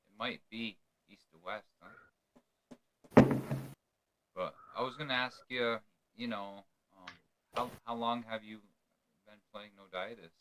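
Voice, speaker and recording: A man's voice: lowish voice, steady tone, slow pace, pauses often, heavy background noise. A man from New York hosting a casual podcast interview, often stumbling and repeating words as he asks his questions. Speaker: podcast host.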